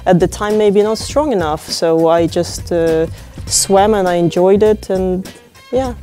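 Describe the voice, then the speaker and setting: Woman, lowish mellow voice, easygoing interview talk w lots of uhs, soft music under. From Ukraine. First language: Ukrainian. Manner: unhurried, with many fillers and restarts. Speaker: interviewee, open-water swimmer.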